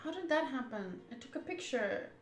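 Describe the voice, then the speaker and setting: A woman streamer doing a game playthrough, reading the text aloud and commenting in an expressive, emphatic way. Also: high voice, moderate pace. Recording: roomy echo, game music behind. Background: soft game music.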